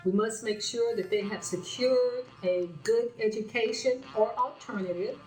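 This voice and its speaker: Slightly raspy woman hosting, earnest and repetitive, recorded roomy and far from the mic, soft music under her voice. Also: fairly high pitch, measured pace. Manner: earnest, repeats phrases for emphasis. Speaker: show host. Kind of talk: spoken commentary to camera. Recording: roomy sound from a distant mic with faint music.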